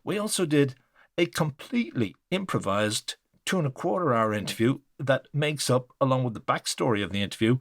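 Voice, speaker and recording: A man's voice: medium pitch, lively intonation, a little fast, dry recording, clean studio sound. A man hosting a podcast, reading a scripted introduction as a journalist and interviewer.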